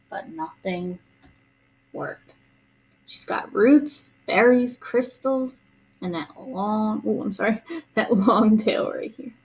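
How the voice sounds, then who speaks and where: A woman's voice: mid-range voice, poor audio. A woman reading a storybook aloud to young children expressively, adding simple, casual explanations.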